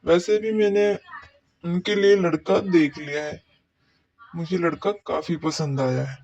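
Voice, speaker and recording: High-pitched man as a doting cartoon father. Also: fairly even intonation, a little slow, darkish tone, dry recording. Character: doting, middle-aged rich merchant father, indulgent and decisive. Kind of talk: cartoon dialogue.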